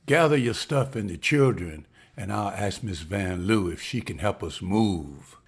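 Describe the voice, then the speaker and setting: A man's voice: lowish voice, animated, a little slow, breathy edge, full character voice. A man reading the part of William, a hopeful, respectful husband who has just landed a waiter's job, in a table read. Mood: eager. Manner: earnest, respectful, says ma'am.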